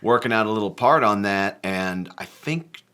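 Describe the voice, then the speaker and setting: A man from a band who jokes around and tells the story of arranging a song in an animated, playful way. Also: lowish voice, normal speed, slight background noise. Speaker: band member discussing a song. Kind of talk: casual conversational chat.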